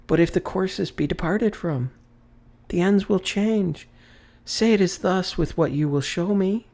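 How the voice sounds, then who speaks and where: A man's voice: high-pitched, measured pace, gravelly, darkish tone, voice pushed up. A man voicing a terrified, repentant old miser pleading with a spirit in a storybook reading. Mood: fearful pleading. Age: older. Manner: pleading, anguished, crying out.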